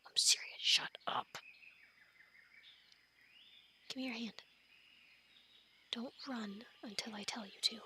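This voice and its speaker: A woman's voice: medium pitch, slight background noise. A woman playing a quirky, awkward young animal scientist stranded on an island, stammering and rambling in an ASMR audio roleplay. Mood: urgent, hushed. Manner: stammering, rambling, flustered, urgent hushing in whispers.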